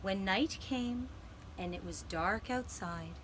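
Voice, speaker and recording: A woman telling a gentle children's story for a puppet show, with deliberate, dramatic phrasing and pauses. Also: mid-range voice, a little hiss or hum.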